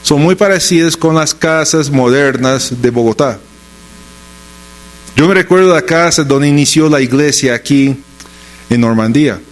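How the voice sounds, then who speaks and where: This is a man preaching a Sunday sermon in a second language, with an American accent from his native English. His voice sits a little high for a man. He moves between scripture and storytelling, posing questions and answering them with short, repeated phrases for emphasis. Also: unhurried, rough recording. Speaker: pastor preaching.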